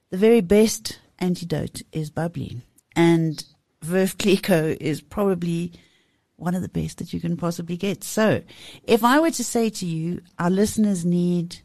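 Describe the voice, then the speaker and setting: A woman's voice: on the low side, warm tone, dry recording, clean studio sound. Playful, effusive woman host. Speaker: radio show host. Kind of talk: casual chat interview.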